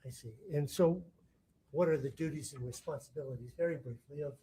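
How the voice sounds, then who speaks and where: A man acting as prosecutor in a courtroom, questioning a witness in a halting way, often rephrasing mid-sentence. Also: fairly high pitch, mellow, slight background noise, low-quality recording.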